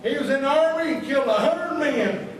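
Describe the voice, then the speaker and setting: A man's voice: very high voice, brisk. A man playing a daft, folksy small-town sidekick in a comic radio double act, rambling on obtusely. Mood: amiably muddled. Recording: distant, very echoey stage, audience behind.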